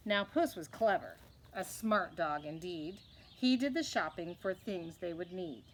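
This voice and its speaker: A woman reading a children's picture book aloud expressively, in measured, rhythmic verse. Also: fairly low pitch, a little hiss or hum. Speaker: read-aloud storyteller.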